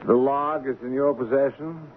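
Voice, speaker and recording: An actor playing a cautious business executive, formal, deliberate and controlled, in 1970s radio drama style. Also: male voice, medium pitch, fairly even intonation, natural voice. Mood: guarded. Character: cautious, dry, measured male business executive. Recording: narrow-band old radio, faint noise.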